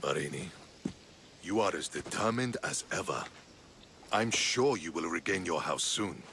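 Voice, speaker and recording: A gravelly stern general. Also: male voice, low voice, animated, measured pace, background noise, heavily acted. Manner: stern, disapproving.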